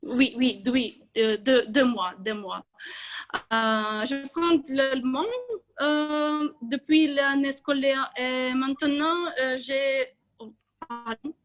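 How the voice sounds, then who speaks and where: Woman, high voice, slow halting delivery, filler sounds, video call. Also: fairly even intonation, faint music behind. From Ukraine. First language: Russian and Ukrainian. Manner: hesitant, many filler sounds, restarts words. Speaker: club member giving a speech. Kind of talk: hesitant prepared speech.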